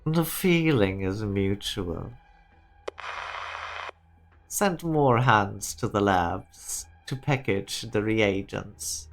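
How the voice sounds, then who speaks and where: A man acts out a calculating director in a game drama, low-pitched and unhurried. He speaks formally and coolly, measuring each statement. Music plays faintly behind him. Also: creaky, exaggerated voice, poor audio. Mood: businesslike, cool. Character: cool, calculating male corporate director plotting weapons technology. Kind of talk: amateur fan dub game drama acting.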